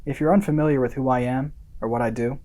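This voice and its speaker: An actor playing a smug, self-satisfied content creator in a radio monologue, condescending and theatrical, with deliberate pauses. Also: mid-range voice, fairly even intonation, warm tone, lightly acted. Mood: smug, genial.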